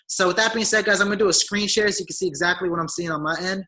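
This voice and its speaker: Raspy, eager salesy man. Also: high-pitched, racing, bright, forceful tone. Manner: eager, salesy, keeps addressing listeners as guys. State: hoarse from talking all day. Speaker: business opportunity presenter. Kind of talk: online sales pitch presentation.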